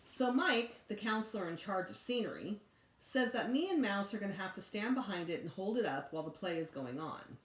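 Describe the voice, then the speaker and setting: Echoey, animated woman reading a story aloud. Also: mid-range voice. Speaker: teacher reading aloud to children. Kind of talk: expressive storybook read-aloud. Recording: roomy echo.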